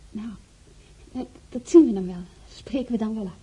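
A woman playing a patient young nurse in a Dutch radio play, talking in brisk, soothing, short phrases. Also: fairly high pitch, warm tone, breathy edge. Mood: reserved. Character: patient young female nurse on a hospital ward. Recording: noisy old radio drama recording with clicks.

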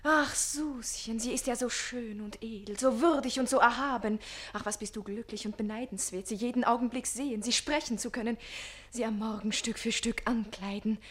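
Radio comedy: actress as adolescent boy page, high voice, lovesick, pleading, impetuous. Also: normal speed, lightly acted. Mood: rapturous. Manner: gushing, breathless enthusiasm, pleading. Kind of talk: theatrical radio comedy acting.

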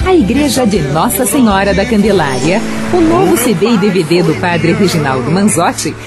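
A woman's voice: mid-range voice, sing-song, normal speed. A Brazilian woman reading a scripted promotional announcement as a radio announcer. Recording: loud music under the voice.

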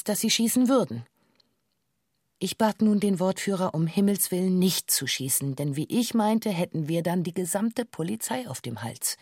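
Woman hostage witness for radio drama, lowish voice, stiff formal factual statement, super dry sound. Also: darkish tone, slightly rough, no echo. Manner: formal, measured, factual recounting. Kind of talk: scripted documentary radio play monologue.

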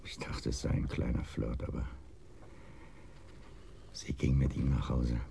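An actor playing a timid, bitter betrayed husband in a radio crime drama, speaking haltingly and heavily, with hushed trailing phrases. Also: male voice, very deep voice, little pitch movement, creaky, a little hiss or hum, full character voice. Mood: bitter, broken. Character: broken, bitter cuckolded husband, timid and brooding.

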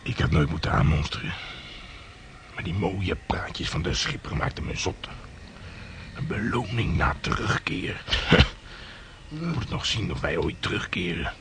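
An actor playing a gruff, lusty and gloomy old sea hand in a historical radio play, with earthy sailor talk. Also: male voice, deep voice, big pitch swings, measured pace, full character voice. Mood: gloomy, grumbling. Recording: noisy radio play recording.

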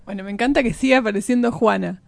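A woman's voice: fairly high pitch, fast, creaky, no echo. A woman with a Rioplatense Argentine accent hosting a casual radio chat. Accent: Rioplatense Argentine Spanish. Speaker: radio host.

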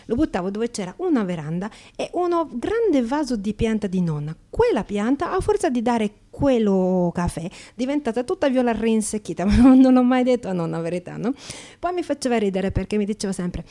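Actress as mellow, high-voiced Slovak streetwalker. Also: female voice, big pitch swings, brisk. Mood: playful, reminiscing. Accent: Slovak-accented Italian. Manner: broken grammar, tag questions, repeats words for emphasis. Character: chatty half-Slovak, half-Italian streetwalker telling her life story. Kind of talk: intimate theatrical monologue addressed to a listener.

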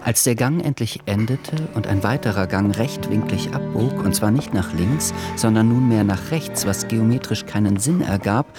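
A man playing the measured narrator of an uneasy literary radio drama, reading scripted prose. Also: fairly low pitch, over loud music, close to an everyday voice.

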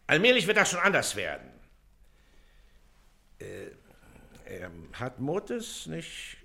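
An actor playing a haughty, self-important Prussian district official in a radio play, suspicious, condescending and clipped. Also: male voice, mid-range voice, gravelly, bright, forceful tone, full character voice. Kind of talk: German radio play acting.

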